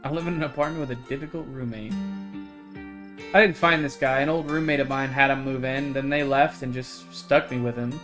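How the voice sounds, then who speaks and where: A man reading the part of a wry, put-upon visual novel protagonist, deadpan and grumbling at length. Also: mid-range voice, natural voice.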